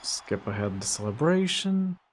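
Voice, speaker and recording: A man streaming a video game, chatting casually to viewers in a relaxed, rambling way full of fillers. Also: medium pitch, measured pace, slight background noise. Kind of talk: casual gameplay commentary.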